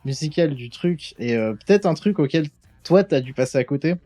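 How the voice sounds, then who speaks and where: Animated teasing man, higher voice. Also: a little fast, soft background music. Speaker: podcast co-host. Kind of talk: casual chat.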